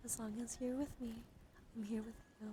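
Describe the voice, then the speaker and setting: A woman streamer speaking softly and soothingly in an ASMR personal-attention style, often slipping into a whisper. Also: on the high side, little pitch movement, fairly quick. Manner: soft-spoken, often drops into whispering; gentle, soothing, coaxing. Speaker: live streamer host. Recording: noisy live stream with clear background noise. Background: background noise, dog outside.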